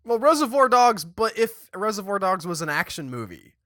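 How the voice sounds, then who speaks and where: A man's voice: high-pitched, normal speed, dry recording, studio quality. A young man co-hosting a casual podcast, chatty and rambling, restarting sentences as he goes. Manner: chatty, animated, restarts and stumbles mid-sentence. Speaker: podcast co-host. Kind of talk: casual chat.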